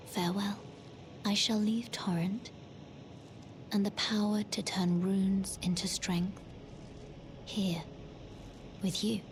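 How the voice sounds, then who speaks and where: Fantasy game maiden played by a woman: gentle, solemn, formal, soft-spoken, unhurried pace, clear rumble behind. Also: close to an everyday voice. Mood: solemn, grateful. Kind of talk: scripted fantasy game acting. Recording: clear background rumbling noise.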